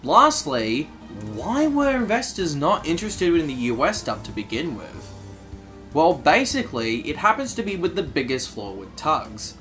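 A man's voice: on the high side, sing-song, normal speed, a bit bright, soft background music. A man hosting a video essay, narrating from a script.

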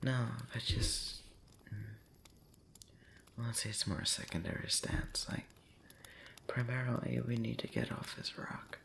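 A man speaking softly and breathily, often whispering, in an intimate ASMR roleplay monologue, rambling hesitantly with long pauses. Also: medium pitch, creaky. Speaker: audio roleplay voice actor.

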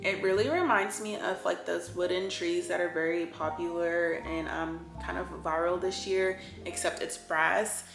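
Gravelly, low-voiced woman chatting casually. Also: steady tone, normal speed, hardly any pauses. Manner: chatty, enthusiastic, filler-heavy. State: sore throat. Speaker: home decor vlogger. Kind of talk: casual haul chat. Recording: roomy echo with background music.

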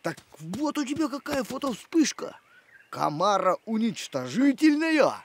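An actor voicing a playful, good-hearted male dog in an animated series, with a joking manner. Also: medium pitch, measured pace. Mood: teasing. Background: cartoon music.

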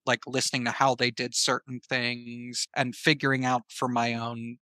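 A man with a bright, forward voice talks casually as a podcast guest. His pitch sits in the middle range, and the sound is dry and close, with no room around it. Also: flat delivery, moderate pace, no room sound. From American Southeast. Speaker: podcast guest, writer and filmmaker. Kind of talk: casual interview chat, giving advice.

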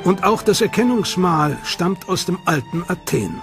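A man narrating a documentary in a measured, dramatic manner. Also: high voice, expressive, gravelly. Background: music.

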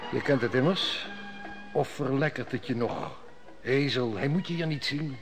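A man's voice: medium pitch, normal speed, music in the background, natural voice. A man playing a cynical, mocking court steward in a radio play, who drinks too much and schemes at court. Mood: scheming. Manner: sardonic, mocking. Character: sly cynical male royal steward, heavy drinker. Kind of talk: 1960s radio drama acting.

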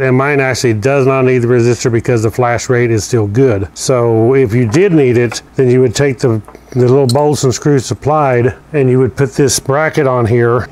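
A man's voice: mid-range voice, darkish tone. A man giving a casual, practical how-to walkthrough while working with his hands in a garage, heard through a camera mic. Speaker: installer demonstrating a product. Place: garage, indoors.

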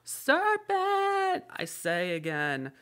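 A shrieking, scolding pigeon. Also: female voice, wildly swooping pitch, extremely slow, fairly bright tone, heavily acted. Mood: wailing. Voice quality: shrill, shrieking. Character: shrieking indignant female pigeon, suspicious, contemptuous.

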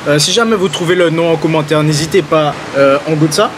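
A man's voice: on the high side, a little fast, noisy background. A man chatting casually and enthusiastically as a food vlogger, using slang and addressing his viewers. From Guadeloupe. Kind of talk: casual vlog chat, tasting food.

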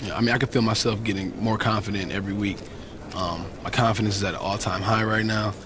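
An actor playing a cool, self-assured young American basketball player in a video game, speaking casually and matter-of-factly. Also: male voice, on the low side, steady tone, fast talker, noisy background, natural voice. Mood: confident. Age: young adult. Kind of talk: naturalistic video game cutscene acting.

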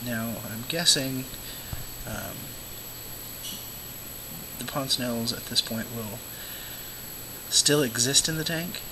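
A man hosting a home aquarium vlog, his voice hoarse and scratchy from illness and often fading to a whisper. He rambles in a relaxed way with plenty of fillers. Steady background noise sits under the recording. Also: mid-range voice, slow pace, long pauses. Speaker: aquarium vlogger, show host. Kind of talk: casual talk to camera.

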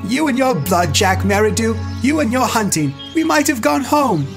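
A man voicing a timid boy crying out in bitter grievance in a storybook, in a shrill voice. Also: sing-song, moderate pace, music in the background, full character voice. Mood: shrill, anguished.

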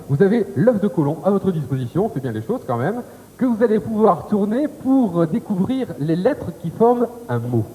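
A man in his thirties runs a television game show with an upbeat, chatty manner, questioning contestants in quick turns. His voice sits high but has a mellow, soft-edged tone. He speaks into a handheld mic in a studio with a long reverb tail and a faint tape hiss behind him. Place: TV studio set.

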